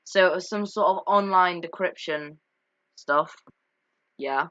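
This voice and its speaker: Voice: mid-range voice, slow pace, fairly bright tone, dry recording. A casual, unscripted game commentator who talks hesitantly, often correcting and putting themselves down. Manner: hesitant, self-correcting, self-deprecating. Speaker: video creator commentating on game cutscenes. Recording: slightly dull lo-fi home mic.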